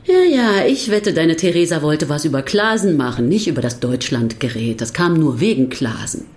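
An actress playing a skeptical, needling partner, blunt and teasing, in radio drama dialogue. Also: female voice, sits low, animated, normal speed, darkish tone. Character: skeptical, needling woman close to the writer.

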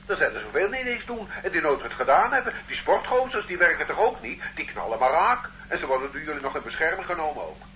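A man's voice: fairly high pitch, big pitch swings, fast, hardly any pauses. An actor playing a defiant, work-shy man under arrest who questions and protests stubbornly in a radio drama. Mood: protesting. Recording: muffled, noisy old radio recording.

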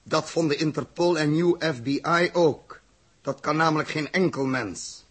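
An actor playing a blunt, intense male spaceship captain in a 1960s radio play, emphatic and deliberate. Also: a little high, fairly bright tone, breathy edge. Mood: grave, determined.

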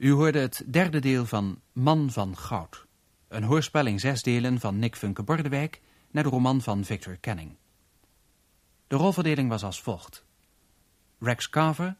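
A man announcing radio drama credits in a formal, deliberate 1970s broadcast style. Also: mid-range voice, a little mellow, no echo.

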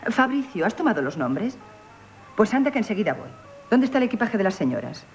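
An actress playing a shrewd, courteous but slyly ironic innkeeper in a radio theatre comedy. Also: female voice, mid-range voice, sing-song, a little fast. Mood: gracious, brisk. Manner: courteous with sly irony. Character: shrewd, elegant, mocking female innkeeper. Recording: dull old radio recording with music.

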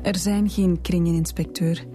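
Radio drama: actress as reticent, dignified female suspect, lowish mellow voice, light music underneath. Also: steady tone, moderate pace, lightly acted. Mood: guarded, resolute. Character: guarded, dignified married woman under questioning. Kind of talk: Belgian radio police drama acting.

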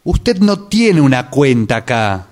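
A man's voice: mid-range voice, sing-song, slow, a little mellow. A man plays an incredulous but firm male clerk in a radio comedy sketch. His mid-range voice moves animatedly through formal, puzzled phrasing. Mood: baffled, firm. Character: baffled, firm male blood bank clerk. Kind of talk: amateur radio play acting.

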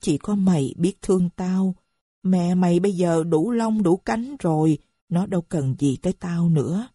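A woman's voice: on the low side, moderate pace, mellow, no echo, studio quality. Woman doing a bitter stepfather. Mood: sorrowful. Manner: gruff retorts, turning sorrowful. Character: bitter, defiant middle-aged stepfather.

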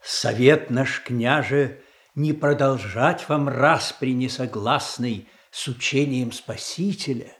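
An actor playing a grave, peacemaking church leader in a radio play, with solemn, measured delivery. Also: male voice, medium pitch, natural voice. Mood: solemn counsel. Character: grave, peacemaking church primate counselling princes. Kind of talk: theatrical verse acting in a radio play.

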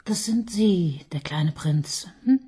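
An actress playing a middle-aged married woman detective who talks in a dry, probing and sometimes hushed way. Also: lowish voice, lively intonation, takes it slowly, breathy edge, exaggerated voice. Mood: wary, dry. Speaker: police detective. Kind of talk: radio play acting.

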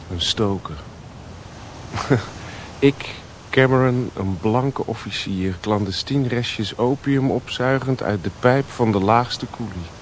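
An actor playing a restless, bitter and scheming ship's officer in a radio play. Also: male voice, on the low side. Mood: bitter amusement. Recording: noisy old radio play recording.

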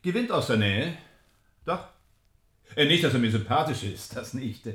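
A man's voice: mid-range voice, expressive, moderate pace, fairly bright tone, breathy edge. A man playing a preoccupied stage actor in a radio drama, talking in hesitant, clipped phrases. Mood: hesitant, fascinated. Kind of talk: naturalistic German radio drama acting.

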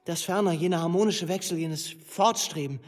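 Reverberant, higher-voiced man reading solemnly. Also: moderate pace. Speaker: reader. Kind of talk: solemn literary reading of philosophical prose. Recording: long reverberant hall, music underneath.

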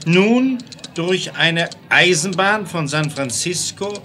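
Assured, amused western townsman, sharp ringing tone, lightly hissy 1970s audio play. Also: fairly high pitch, animated, slow, exaggerated voice. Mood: confident, declaiming. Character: confident, amused Wild West townsman reading aloud to friends. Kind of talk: 1970s German radio play acting. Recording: slightly noisy 1970s record.

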